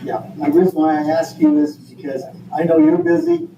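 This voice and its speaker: An older man from Vermont speaking informally at a public meeting, in a halting, folksy, rambling way. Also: on the high side, huge pitch swings, dark tone, highs cut off. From Vermont, United States. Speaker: school board member leading the meeting. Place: indoor meeting room. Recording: echoey room, distant mic, noisy background.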